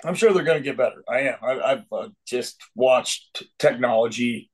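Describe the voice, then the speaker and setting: A man's voice: medium pitch, moderate pace, husky. A man on a podcast roundtable, arguing his view with frequent restarts and fillers. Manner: opinionated, hesitant restarts. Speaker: roundtable panelist. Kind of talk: casual podcast discussion.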